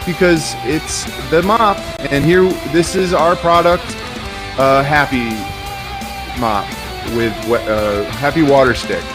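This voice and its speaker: A man improvising an entitled, flustered rich kid at a comedy commercial audition, halting and defensive. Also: a little high, music in the background. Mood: flustered pitching. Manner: halting, defensive, self-important. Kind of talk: improvised comedy show banter.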